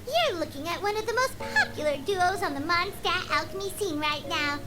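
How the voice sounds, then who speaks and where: A woman voicing a tiny, excitable, childlike fairy companion in a video game, chirpy and squeaky. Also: normal speed, very noisy. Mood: boastful. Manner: speaks of herself in third person, chirpy. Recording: game music behind the voice.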